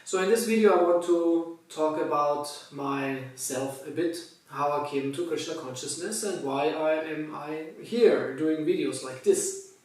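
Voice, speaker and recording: A man's voice: on the high side, measured pace, a little mellow, recorded from a distance. Hesitant Austrian man in an echoey room. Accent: Austrian German. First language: German. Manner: hesitant, searching for words, frequent fillers and restarts. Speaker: Hare Krishna monk. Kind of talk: personal testimony to camera.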